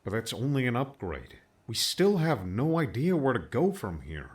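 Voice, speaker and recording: A man's voice: mid-range voice, sing-song, normal speed, a little mellow, natural voice. An actor playing a weary, short-tempered man in an audiobook story, serious and aggravated. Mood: weary, frustrated. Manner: aggravated, emphatic.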